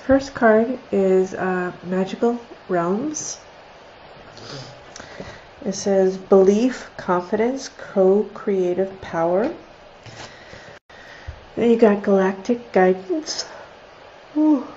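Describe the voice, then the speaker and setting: A woman's voice: mid-range voice, very slow, pauses often, warm tone. A congested woman with a nasal voice, casually reading oracle cards on a livestream, chatty, thinking aloud and laughing often. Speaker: oracle card reader. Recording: slightly dull lo-fi sound with faint noise.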